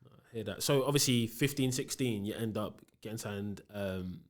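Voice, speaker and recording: Laid-back podcast host. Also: male voice, fairly low pitch, normal speed. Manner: relaxed questioner, frequent backchannel agreement. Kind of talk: casual podcast interview.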